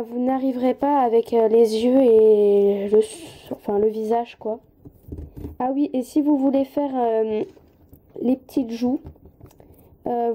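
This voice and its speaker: A woman's voice: on the high side, lo-fi sound. A woman with a slight head cold giving a casual hands-on craft tutorial, informal and hesitant, often apologizing as she goes.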